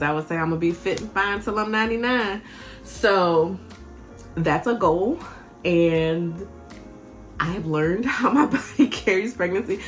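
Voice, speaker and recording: A woman in her forties, a vlogger and health coach, talking candidly and sounding tired, laughing often and restarting her sentences. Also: fairly low pitch. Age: 40s. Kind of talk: candid personal vlog.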